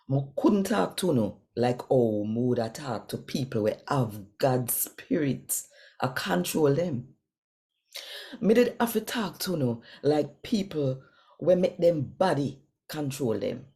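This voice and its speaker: A Jamaican woman giving an expressive scripture reading in a measured, emphatic way with dramatic pauses. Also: a bass voice. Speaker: scripture reader.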